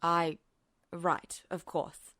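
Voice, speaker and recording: A woman's voice: on the low side, flat delivery, very slow, pushed and bright, no room sound, clean studio sound, pitched below the performer's own voice, exaggerated voice. A woman voicing a stoic, wry male commander in an audiobook story, with a clipped, understated delivery. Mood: flustered. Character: adult man soldier, dry, wry, stoic commander. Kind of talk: audiobook character voice.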